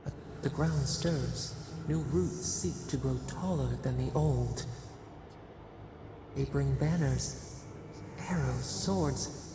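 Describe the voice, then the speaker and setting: A performer voicing an ancient, otherworldly spirit in a video game, speaking solemnly and cryptically in slow, halting phrases as a collective we, with a gravelly, echoing voice. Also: mid-range voice, background noise. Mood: brooding, solemn. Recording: cavernous reverb, music underneath.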